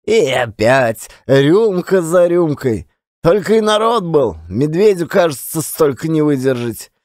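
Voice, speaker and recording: A man's voice: on the high side, very animated, unhurried, darkish tone, no echo, studio quality. An actor playing a garrulous, folksy provincial Russian man telling rueful yarns in colloquial, theatrical style for a dramatized audiobook. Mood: amused, relishing. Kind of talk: dramatized audiobook storytelling, monologue.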